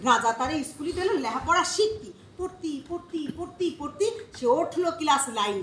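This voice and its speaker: Village storyteller, played by a woman: high-pitched, bustling, Khulna Bengali accent, roomy stage sound. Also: animated, a little fast, a bit bright, slight background noise. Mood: storytelling. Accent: Khulna regional Bengali. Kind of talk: folk-tale narration on stage, with song.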